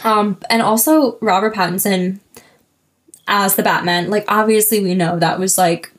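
A woman in her twenties chats on a podcast in an ordinary mid-range pitch. She keeps the talk playful and upbeat as a co-host. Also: fairly even intonation, normal speed. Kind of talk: casual podcast chat.

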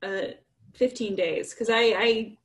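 A woman in her thirties making hesitant, deadpan jokes on a call. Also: mid-range voice, takes it slowly. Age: 30s.

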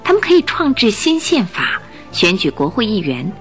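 Female narrator, expressive storytelling, lively pitch movement, scripted radio drama, music behind. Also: moderate pace.

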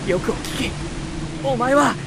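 A man's voice: extremely high pitch, gravelly, exaggerated voice. Urgent young nobleman in a roaring storm. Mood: grave, urgent. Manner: urgent, commanding, impassioned. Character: devoted young nobleman protecting his sister in a shipwreck. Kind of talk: radio drama acting. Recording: loud rushing storm noise. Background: roaring sea storm effects.

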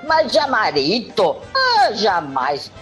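A woman's voice: mid-range voice, huge pitch swings, takes it slowly, a bit bright, faint music behind, heavily acted. A woman playing a defiant, hot-tempered young sister in a comedic radio soap, theatrical and mocking, dropping in Italian words. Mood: indignant, scornful.

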